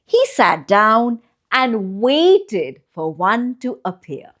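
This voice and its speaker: Female children's storyteller, fairly high voice, highly varied intonation, expressive and deliberate read-aloud delivery. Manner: expressive, deliberate phrasing with drawn-out pauses for young listeners. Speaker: children's storyteller and channel host.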